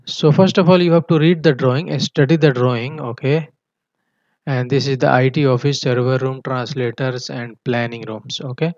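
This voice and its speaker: A man giving a technical tutorial in a halting manner, often punctuating his explanations with a checking "okay". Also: mid-range voice, steady tone, a little mellow, no room sound. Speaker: engineering tutor. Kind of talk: tutorial walkthrough of technical drawings. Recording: dull, muffled lo-fi voice track.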